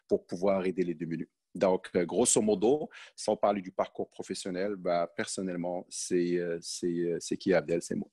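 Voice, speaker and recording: A man's voice: low voice, warm tone, husky. A Moroccan-born man in his forties, a podcast guest talking about his life in a hesitant, conversational way with many fillers. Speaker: podcast guest, sales manager. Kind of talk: conversational interview.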